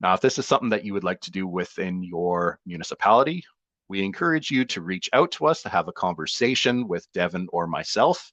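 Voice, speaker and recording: A man working as an economic development advisor, presenting in a webinar in a friendly, measured way that softens at the ends of sentences. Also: low-pitched, big pitch swings, dry recording. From Canada.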